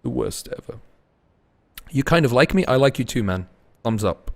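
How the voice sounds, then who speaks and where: A young man casting an esports match, laid-back and tired between plays, rising to fast, excited calls when the action heats up. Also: medium pitch. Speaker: esports caster. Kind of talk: live esports commentary mixed with chatting to viewers.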